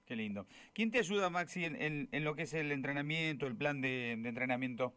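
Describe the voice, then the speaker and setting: A man's voice: a little high, lively intonation, a little fast, bright, forceful tone, smooth. An Argentine man co-hosting a casual radio interview in a studio, encouraging the guest with short affirmations. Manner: encouraging, with frequent brief affirmations.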